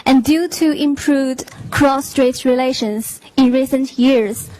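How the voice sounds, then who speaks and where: A woman's voice: sits high, steady tone, slow pace, dark tone, a little hiss or hum, poor audio. A young woman university student reading a question aloud in a careful, polite way. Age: young adult.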